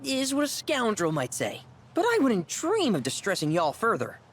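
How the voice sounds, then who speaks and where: Game role: shrewd country kid, Southern US accent, animated folksy delivery, born haggler. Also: mid-range voice, moderate pace, breathy edge. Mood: wry, bargaining. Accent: American Southern. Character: cocky, shrewd country boy, young ranch hand. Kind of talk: video game cutscene acting.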